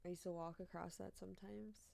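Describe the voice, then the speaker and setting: Young woman streamer, kinda deep voice, swears and laughs, chill wry commentary on weird news. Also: steady tone, fairly quick, gravelly, dark tone. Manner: blunt, swears, laughs often, comments wryly on headlines. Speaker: streamer reading odd news. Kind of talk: casual live-stream chat.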